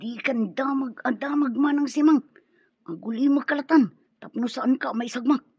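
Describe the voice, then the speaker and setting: A man's voice: very high voice, normal speed, husky, no room sound, full character voice. A man playing a submissive young henchman with an Ilocano accent in a radio drama, deferential in manner. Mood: reassuring. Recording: dull, lo-fi radio drama audio.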